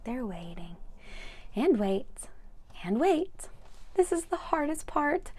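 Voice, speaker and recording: A woman with a slightly high voice reads a picture book aloud for young children. She is expressive and speaks to her listeners directly, adding chatty asides as she goes. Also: slow, breathy edge. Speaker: read-aloud storyteller for children.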